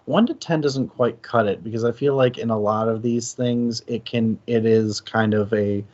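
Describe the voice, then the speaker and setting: A man co-hosting a casual discussion, thinking aloud haltingly and correcting himself as he goes. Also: medium pitch, fairly even intonation, darkish tone, dull audio. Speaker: co-host of a book discussion stream.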